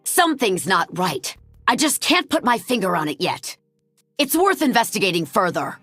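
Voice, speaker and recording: Cool, analytical aide, expressive intonation. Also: female voice, mid-range voice, no echo, faint music behind. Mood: cool, pensive. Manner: measured, matter-of-fact. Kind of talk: dramatic game voice acting.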